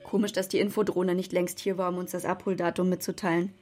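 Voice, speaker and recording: A woman's voice: on the low side, level tone, quick pace, a little mellow, soft background music, natural voice. A middle-aged woman playing a practical scientist mother, matter-of-fact and kindly, in a children's radio drama.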